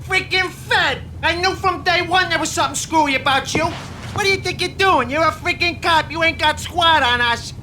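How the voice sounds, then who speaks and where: An actor playing a brash, panicky mob gangster in a game, blustering and shouting. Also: male voice, extremely high pitch, normal speed, noisy background. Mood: defiant. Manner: brash, shouting, thick tough-guy bluster. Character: panicky loudmouth mob gangster, middle-aged. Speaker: game character. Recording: game music underneath.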